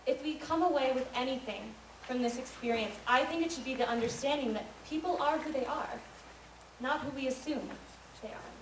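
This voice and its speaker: A young woman from the United States giving an earnest, measured spoken-word monologue on a school stage. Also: sits high. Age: young adult. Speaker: student speaker. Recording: echoey hall recorded from far off, noisy.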